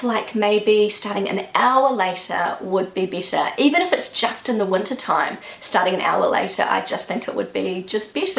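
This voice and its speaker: A woman's voice: medium pitch, creaky, fairly bright tone. A woman with a strong New Zealand accent, chatting earnestly to camera in a casual vlog, her voice jumping up for emphasis. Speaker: vlogger. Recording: echoey room.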